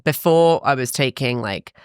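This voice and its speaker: A woman in her thirties, a fitness coach, chatting in a podcast interview, animated and quick with asides. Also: a bass voice, clear, resonant tone, no echo, clean studio sound.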